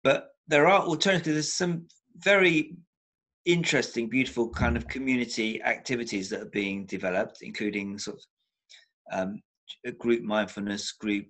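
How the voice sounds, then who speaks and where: A man's voice: mid-range voice, moderate pace, a bit bright, poor audio. An older man speaking as a therapist guest on a video call from a room at home, talking thoughtfully with frequent restarts and repeated words for emphasis. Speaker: psychotherapist guest. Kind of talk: reflective video-call interview. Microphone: laptop webcam on a video call.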